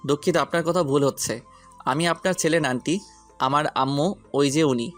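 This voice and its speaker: A man's voice: fairly high pitch, moderate pace, lightly acted. An actor playing a hot-headed, protective young man in an audio story, insistent and defiant. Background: background music.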